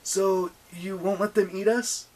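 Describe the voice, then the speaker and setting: A teenage demigod boy, played by an audiobook narrator, with a raised pitch. He sounds casual and slangy. There is a wary, play-along quality to how he talks. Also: steady tone, measured pace, lightly acted, low-quality recording. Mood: alarmed. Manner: casual, laid-back slangy.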